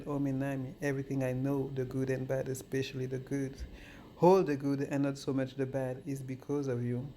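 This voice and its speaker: A man reading spoken-word poetry in a measured, solemn manner. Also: medium pitch, dark tone, slight background noise.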